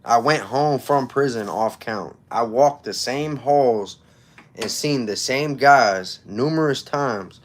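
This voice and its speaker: A man's voice: mid-range voice, slow. A man in his thirties hosting a casual livestream, telling personal stories in informal street slang and repeating himself for emphasis. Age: 30s. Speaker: livestream host.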